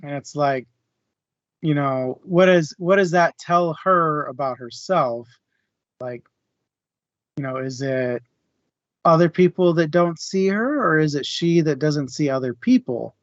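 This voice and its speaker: A man speaks at a slow, unhurried pace as he hosts a casual discussion. His voice sits a little high. The sound is very dry and close, though slightly dull. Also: no echo. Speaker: discussion host.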